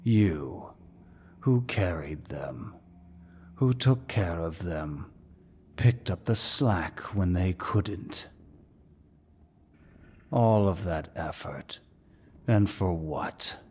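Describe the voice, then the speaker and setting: Casting: male actor for a suave, dominant demon villain, very deep and husky, mocking delivery for roleplay audio. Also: animated, a little mellow, exaggerated voice. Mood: mocking, bored. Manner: slow, mocking, mock-courteous, theatrical. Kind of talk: ASMR roleplay, scripted villain monologue.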